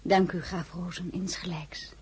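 An actress playing a troubled young royal highness in a period radio drama, with a breathy voice. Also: female voice, steady tone, measured pace, a little mellow, a little hiss or hum, lightly acted, poor audio. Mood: weary, wistful. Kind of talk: Dutch-language period radio drama acting.

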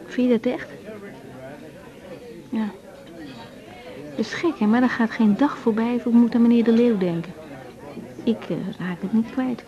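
Actress doing young lady doctor in radio drama, soft mellow voice, unsure of herself, muffled old recording. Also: fairly high pitch, measured pace, pauses often, some chatter behind, natural voice. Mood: troubled, reflective. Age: young adult. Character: young woman doctor, reflective, self-doubting, gently frank. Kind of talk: naturalistic radio drama dialogue. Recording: dull 1980s radio recording.

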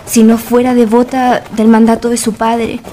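Woman acting wary informant, dark high voice. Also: little pitch movement, moderate pace, a little hiss or hum, natural voice. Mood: grave, troubled. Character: modest, wary woman informant, secretive, grave, devoted. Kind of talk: radio drama acting. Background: background music.

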